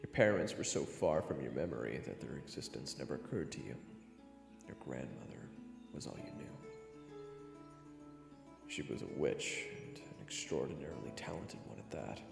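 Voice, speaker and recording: A man with an extremely low, creaky voice delivers dramatic scripted fantasy narration. His measured, theatrical reading swings expressively, sometimes dropping hushed. It sits in long reverb with prominent music behind. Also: animated. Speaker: storyteller and narrator of an audio roleplay series. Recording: roomy sound with long reverb.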